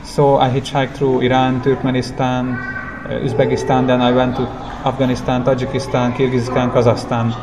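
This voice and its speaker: A man who speaks English as a non-native language, telling travel stories as a guest in a casual interview, in simple, halting, reflective phrases. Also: medium pitch, monotone, music in the background, low-quality recording. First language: not English. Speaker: interview guest, long-term hitchhiking traveller. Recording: clear background ambient noise.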